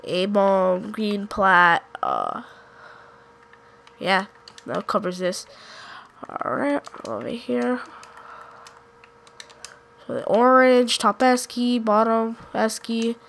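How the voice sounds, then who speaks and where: A woman's voice: medium pitch, extremely slow, vocal fry, pauses often, a bit bright. A woman with a congested, sick voice giving casual gameplay commentary, pointing out map callouts and often correcting herself. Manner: rambling, self-correcting, unsure. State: congested from a cold. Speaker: gaming YouTuber.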